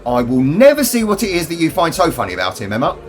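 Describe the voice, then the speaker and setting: An actor playing a jealous, sulky husband in a radio play, grudging and defensive. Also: male voice, fairly high pitch, wildly swooping pitch, quick pace, faint music behind.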